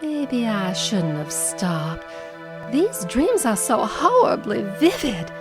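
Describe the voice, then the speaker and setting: High-voiced, troubled mother. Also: huge pitch swings, takes it slowly, over music, exaggerated voice. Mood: uneasy, confiding. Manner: hesitant, dramatic. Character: troubled, fretful mother haunted by vivid nightmares. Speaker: drama actor. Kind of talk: audio drama acting.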